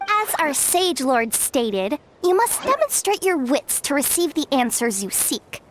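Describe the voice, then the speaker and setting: A woman's voice: sits high, sing-song, measured pace, slight background noise. A woman voicing a haughty, testy mushroom riddle-giver in a game, with formal, condescending delivery. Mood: haughty, impatient.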